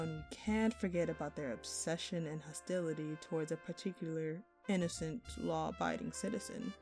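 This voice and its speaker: Woman playing a smug, flirty thief, low mellow voice. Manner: teasing, sly, mock-innocent. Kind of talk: audio roleplay acting addressed to the listener.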